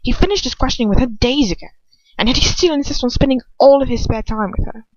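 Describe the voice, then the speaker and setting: A woman's voice: sits high, fast talker, no room sound, highs cut off, pitched above the performer's own voice. A woman voicing a suspicious, rebellious male henchman who mutters urgently and insistently in an audiobook reading.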